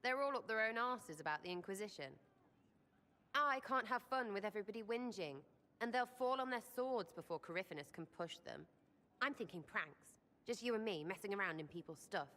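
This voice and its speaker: A woman's voice: medium pitch, expressive, brisk, pushed and bright. A woman playing a mischievous, irreverent young female rogue in a video game, with cheeky, slangy, informal delivery. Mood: restless, teasing. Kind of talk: video game character dialogue. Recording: long reverb, faint background noise.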